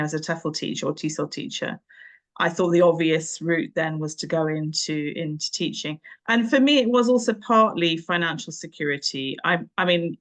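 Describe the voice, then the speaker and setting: A woman's voice: low-pitched, a bit bright. A woman, reflecting conversationally on her working life as an interview guest, with hesitant phrasing and emphatic words. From Nairobi, Kenya; boarding school in England. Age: 50s. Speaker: interview guest, English teacher and tutor. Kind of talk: conversational career reminiscence.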